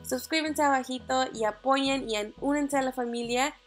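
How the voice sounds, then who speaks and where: A woman's voice: high voice, moderate pace. A young woman whose first language is English, chatting upbeat through a screen-recorded tutorial with small fillers and restarts. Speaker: beauty vlogger.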